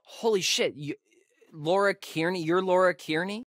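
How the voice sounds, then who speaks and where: A man's voice: sits high, normal speed, fairly bright tone, dry recording, clean studio sound. A young man with an American accent acting a wisecracking, nervy camp counselor in a video game, with casual, hesitant delivery. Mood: astonished.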